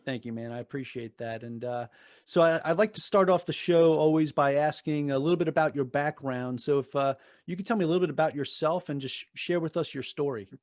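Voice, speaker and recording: A man's voice: fairly high pitch, brisk, darkish tone, dry recording, studio quality. A man hosting an interview podcast, introducing his guest and asking questions in a friendly, loose way. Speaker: podcast host.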